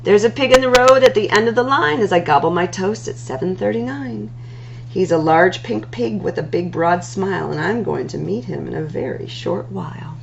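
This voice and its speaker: A woman reading rhyming picture books aloud as a storytime host, in an expressive, singsong delivery with drawn-out words. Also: mid-range voice, gravelly, dull audio, slight background noise.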